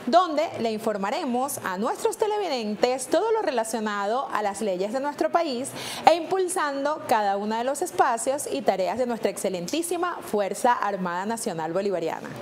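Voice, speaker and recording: A woman's voice: on the high side, sing-song, slight background noise. A Venezuelan woman hosting a TV legal program, speaking in a polished, formal, announcer-like way. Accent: Venezuelan Spanish. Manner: polished, announcer-like, slows down for numbers. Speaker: TV host and lawyer. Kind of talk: formal TV presenting.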